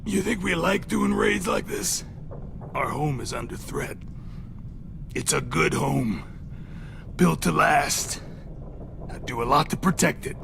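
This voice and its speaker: Game villain: injured middle-aged captive raider, heavily gravelled voice, sardonic and menacing, strained delivery, noisy capture. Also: male voice, a little high, lively intonation, slow pace, pauses often, heavily acted. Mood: grim, defiant. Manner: taunting, profane, sardonic. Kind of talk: video game voice acting.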